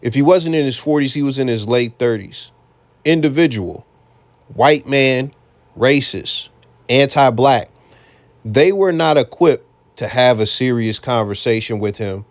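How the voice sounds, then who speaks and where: A man's voice: medium pitch, measured pace. An American man hosting a reaction commentary, talking bluntly and casually with frequent profanity. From United States. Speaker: commentary channel host.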